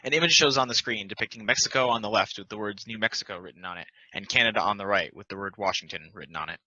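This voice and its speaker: A man's voice: lowish voice, quick pace, bright, forceful tone, dry recording, dull audio. A man reading a script aloud as narrator at a play table read. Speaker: narrator reading stage directions.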